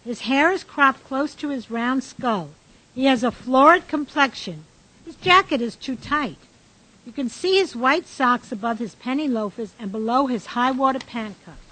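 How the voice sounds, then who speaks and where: A woman's voice: high-pitched, moderate pace, bright, forceful tone, husky, heavily acted, low-quality recording. A woman reading scripted stage directions as the matter-of-fact narrator of a radio drama. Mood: descriptive.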